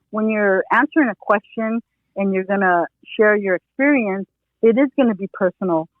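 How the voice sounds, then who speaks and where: A woman's voice: mid-range voice, no echo. Woman on a tinny phone line. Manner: rambling, many "you know" fillers and restarts. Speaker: retired police officer, interview consultant. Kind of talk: casual advice in an interview.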